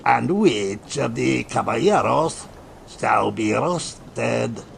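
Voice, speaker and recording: Man playing a very gravelly pirate henchman. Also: medium pitch, animated, drawn-out words, a bit bright, slight background noise, full character voice. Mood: calculating. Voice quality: gravelly, grunting. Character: sadistic gruff pirate henchman, eager yet practical. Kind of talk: dramatised audiobook reading. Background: faint ambient sea sound.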